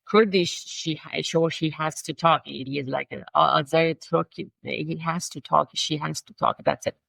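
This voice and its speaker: Gravelly low-voiced Persian-accented woman. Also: steady tone, fairly bright tone, no echo, poor audio. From Iran. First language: Farsi. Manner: halting, searching for words, frequent restarts. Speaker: guest, designer and language coach. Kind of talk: casual interview conversation.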